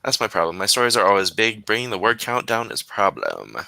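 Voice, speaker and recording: A man's voice: on the low side, big pitch swings, quick pace, vocal fry, bright, forceful tone, dry recording. A man hosting a casual writing livestream, reading out viewer comments and chatting with laughs and self-interruptions. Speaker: host of a writing sprint stream.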